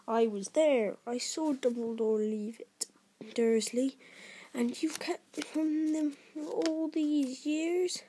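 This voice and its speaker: A woman voicing a huge, gruff, hot-tempered male giant with rustic speech and booming, growling outbursts in a storybook reading. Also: mellow. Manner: rustic dialect, emphatic outbursts.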